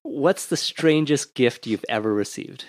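A man hosts an interview podcast, moving briskly through quick questions with an upbeat, easygoing manner. His voice sits in the middle range. It is recorded dry and close, with almost no room sound. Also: mid-range voice, lively intonation, dry recording. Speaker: podcast host. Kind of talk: interview podcast with a rapid-fire question round.

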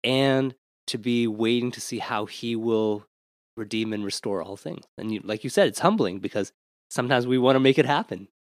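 Upbeat podcast host, dry sound. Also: male voice, mid-range voice, dry recording. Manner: upbeat, laughs easily, quick questions. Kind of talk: interview podcast with a rapid-fire question round.

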